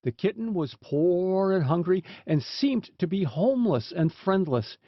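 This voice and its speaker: A man's voice: high-pitched, sing-song, measured pace, no room sound. A man reading a storybook aloud as an audiobook narrator, in a scripted narration style. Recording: dull, lo-fi sound.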